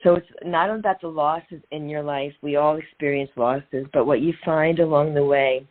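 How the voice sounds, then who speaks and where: A woman's voice: low-pitched, steady tone, moderate pace. A middle-aged woman speaking as an interview guest and coach in an earnest, inspirational way, repeating phrases for emphasis. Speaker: guest, transformational and women's empowerment coach. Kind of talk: conversational interview, motivational storytelling. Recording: narrow phone-like sound.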